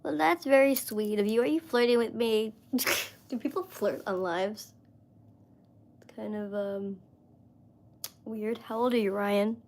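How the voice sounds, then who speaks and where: A woman's voice: on the high side, a little slow, long pauses. A woman in her mid-thirties chatting casually on a live stream, rambling with lots of fillers as she talks to viewers. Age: 30s.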